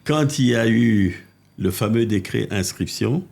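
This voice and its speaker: An older man from Congo, a mayor telling animated anecdotes in a casual interview, with restarts and asides, recorded on a living-room podcast set. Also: fairly low pitch, measured pace, vocal fry. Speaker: mayor, political guest.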